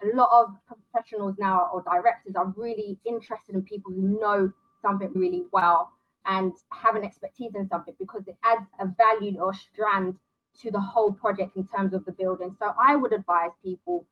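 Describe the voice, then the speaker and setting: A woman as an interview guest, briskly listing options and giving direct career advice. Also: mid-range voice, highs cut off.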